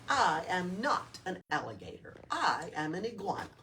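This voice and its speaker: A woman's voice: lowish voice, sing-song, moderate pace, sharp tone, exaggerated voice. A woman voicing an earnest, curious young male iguana in a storybook read-aloud. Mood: indignant. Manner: earnest, questioning. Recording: noisy room, distant microphone.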